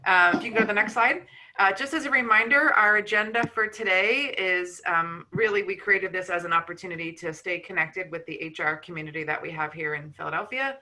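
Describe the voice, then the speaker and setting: A woman's voice: fairly low pitch, sharp tone, rough recording. A woman hosting a video call, welcoming guests in an upbeat, easygoing way and laughing easily.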